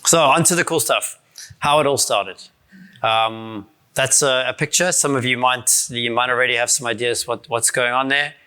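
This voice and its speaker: South african guy in his 40s giving a conference talk, bright voice, lots of ums and restarts, bit hoarse. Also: medium pitch, moderate pace. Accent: South African, softened by years abroad. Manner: casual, many restarts and fillers, self-deprecating. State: voice strained from shouting the night before. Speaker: conference speaker. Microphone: handheld or stand mic.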